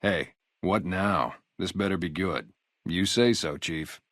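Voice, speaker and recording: A man's voice: deep voice, slow pace, a bit bright, no room sound, clean studio sound. A man acting a video game character, a guarded, loyal ex-gang sniper on town security, talking in a casual, streetwise way. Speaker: security guard and former soldier.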